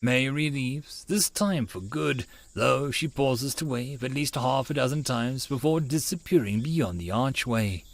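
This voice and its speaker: A man narrating a first-person story as an audiobook reader, in an expressive storytelling style. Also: medium pitch, a little slow, hardly any pauses.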